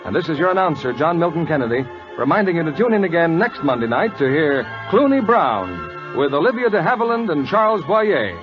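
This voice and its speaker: A man's voice: a little high, sing-song, a little fast, hardly any pauses, over music. An American man working as a radio announcer, reading scripted 1940s announcements. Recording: dull vintage radio recording.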